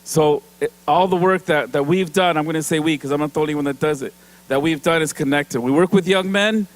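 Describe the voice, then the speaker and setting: A man's voice: on the high side, a little fast, rough recording. A man giving an award speech on stage, chatty and joking, often laughing and slipping into Spanish. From Mexican-American from San Diego. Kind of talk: casual speech with stage humor. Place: event stage before an audience.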